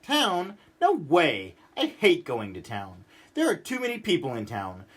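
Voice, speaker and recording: A man voicing a cranky, stubborn, exasperated man who grumbles and rants in an animated storybook read-aloud. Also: a little high, measured pace, voice pushed down, full character voice. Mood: grumpy complaining. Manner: exasperated, ranting, emphatic.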